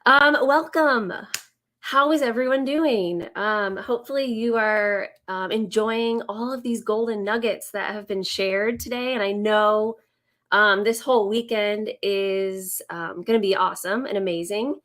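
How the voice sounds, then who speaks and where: Woman in her 30s, raspy from a cold, slow and chatty with lots of ums, live stream. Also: medium pitch, a bit bright. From Indiana, US. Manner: slow, friendly, many ums. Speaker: business coach hosting a live Q&A. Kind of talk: live-stream talk to viewers.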